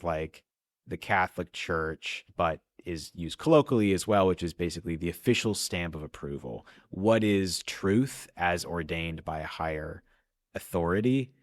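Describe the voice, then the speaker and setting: Low-voiced American man, interviewed. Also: a little slow, no room sound, studio quality. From central Illinois, USA. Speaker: audio drama writer and director. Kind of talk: reflective interview talk.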